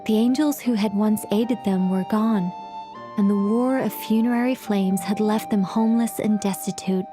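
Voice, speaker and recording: A woman's voice: medium pitch, fairly even intonation, moderate pace, over music, close to an everyday voice. An actress playing an eccentric, absent-minded female mage in a video game, explaining at length in a scholarly, wordy manner. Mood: solemn narrating. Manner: long-winded explaining, flustered when interrupted.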